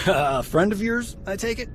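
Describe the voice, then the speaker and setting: An actor playing a cocky, irreverent doctor in a video game, talking in a breezy, wry, informal way. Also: male voice, high-pitched, expressive, slow, slight background noise. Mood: breezy, boastful. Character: cocky, irreverent male doctor, roguish and grumbling. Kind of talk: dramatic video game acting.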